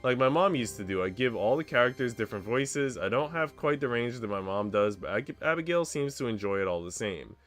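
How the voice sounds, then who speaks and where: A man's voice: mid-range voice, expressive, brisk, hardly any pauses, a bit bright. A man narrating a visual novel aloud as a streamer, reading out first-person story text. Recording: game music behind the voice. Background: visual novel music.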